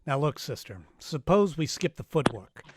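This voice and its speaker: A man's voice: on the high side, very animated, moderate pace, noisy background. A man playing a wisecracking, dryly sardonic private eye in an old-time radio detective play. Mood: dry, bargaining. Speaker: actor in live radio play, also narrating.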